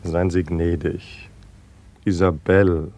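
A suave gentleman in a literary radio drama. His voice is low and deep. He phrases everything in a courtly, measured and formal way. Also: little pitch movement. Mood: courtly pleading. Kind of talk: literary German radio play acting.

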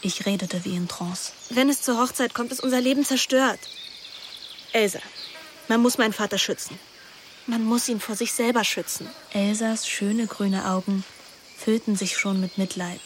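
Over clearly audible ambient noise comes a woman acting as a teenage girl in a radio play. She is scheming and anguished, speaking in an intimate, brooding manner at a middle pitch. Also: fairly even intonation, moderate pace, lightly acted. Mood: urgent, persuasive. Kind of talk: radio drama with introspective first-person narration.

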